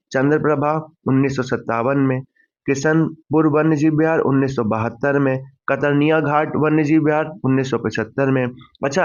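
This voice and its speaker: Male teacher, fairly high pitch, didactic question-and-answer revision delivery, dull low-fidelity sound. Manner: didactic, poses questions then answers them, repeats key points. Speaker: exam-prep teacher.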